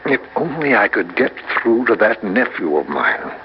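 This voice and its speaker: A man's voice: on the low side, big pitch swings, normal speed, faint music behind. An actor in his sixties voicing an aging actor's reflective, worried inner monologue in a vintage radio drama. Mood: anxious. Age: 60s. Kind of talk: 1960s radio drama acting. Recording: dull lo-fi vintage radio with faint hiss.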